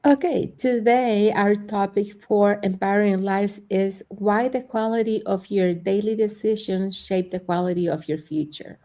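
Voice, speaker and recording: An older woman from Mexico, a grandmother, introducing herself and reading the topic slowly and carefully on an online panel. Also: medium pitch, steady tone, dark tone. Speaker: panel guest.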